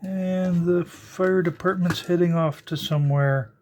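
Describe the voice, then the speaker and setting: An older electronics hobbyist giving a casual, unscripted teardown narration, halting and musing aloud while working with their hands. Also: medium pitch, steady tone, darkish tone, a little hiss or hum. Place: indoors at a workbench.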